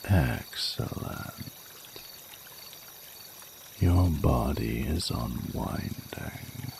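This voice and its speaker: A man's voice: rumbling low, gravelly, background noise, full character voice. A man voicing a flirty, courtly male dragon in a romantic audio roleplay, speaking formally and soothingly in intimate ASMR style. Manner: formal, flowery, unhurried, soothing speech. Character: ancient-sounding flirty male dragon, tender, courtly lover.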